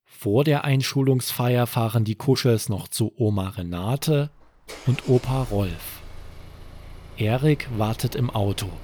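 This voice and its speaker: A man tells a bedtime story for children. His pace is very slow and his phrasing deliberate, with a medium pitch and a measured storyteller's delivery. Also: a little mellow, a little hiss or hum.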